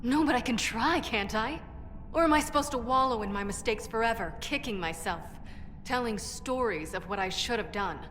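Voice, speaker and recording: A woman's voice: fairly high pitch, lively intonation, normal speed, fairly bright tone. A woman playing a brash, defensive female dwarf inventor in a video game, blunt and wry. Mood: regretful, defiant. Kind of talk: video game cutscene acting. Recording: echoey cavern reverb, background noise.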